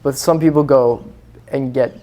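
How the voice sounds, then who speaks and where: A man's voice: mid-range voice, steady tone, moderate pace, warm tone. A man who grew up in Singapore, talking as an interview guest in an earnest, rambling way with lots of fillers. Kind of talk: casual interview chat.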